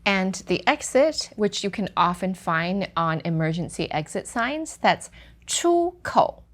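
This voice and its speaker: A woman teaching Chinese vocabulary in a lively, quick lesson dialogue. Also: mid-range voice. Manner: lively, quick, gives example sentences slowly.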